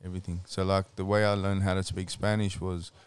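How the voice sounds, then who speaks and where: A man from Sweden and Chile, whose first language is Swedish, speaking as an interview guest. His voice sits very low, and his talk is laid-back and full of slang. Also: level tone, a little fast, clear, resonant tone. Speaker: guest, striking coach. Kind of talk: casual interview chat.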